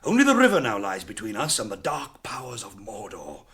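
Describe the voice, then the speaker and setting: A proud, embattled warrior of noble birth in a radio fantasy. He declaims emphatically in a lowish voice that rises and falls widely. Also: wildly swooping pitch, a little fast, a bit bright. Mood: proud, urgent. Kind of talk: radio drama acting.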